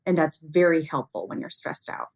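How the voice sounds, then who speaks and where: Low-pitched woman explaining as an expert guest. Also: sing-song, moderate pace, gravelly. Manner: explains with everyday examples and hypotheticals. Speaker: interview guest, expert on human error in cybersecurity. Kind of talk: conversational expert explanation in an interview.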